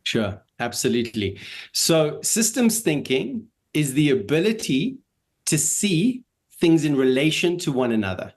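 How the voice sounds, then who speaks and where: A man's voice: a little high, big pitch swings, a little slow. A man who grew up in Israel and South Africa, with an Israeli and South African accent, answering interview questions in a thoughtful way and laughing easily. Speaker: guest.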